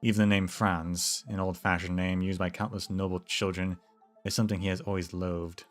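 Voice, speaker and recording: Low-voiced man reading a game story. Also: moderate pace, faint music behind. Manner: relaxed, with chatty asides and small restarts. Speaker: streamer narrating a game. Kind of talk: casual story read-aloud to a stream.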